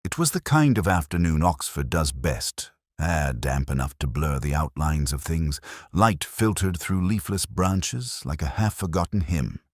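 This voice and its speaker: A man narrating a scripted first-person detective story in a measured, literary, reflective manner. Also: rumbling low, expressive, no echo, clean studio sound.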